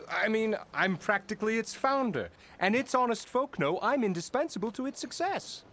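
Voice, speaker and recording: An actor playing a pompous, blustering middle-aged labor boss who talks in grand, flattering, evasive turns in a video game. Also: male voice, high-pitched, moderate pace, exaggerated voice.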